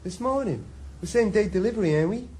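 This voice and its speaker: Casual courier, high voice. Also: male voice, expressive, brisk, mellow, a little hiss or hum. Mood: offhand. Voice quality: casual, offhand. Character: offhand Welsh delivery man.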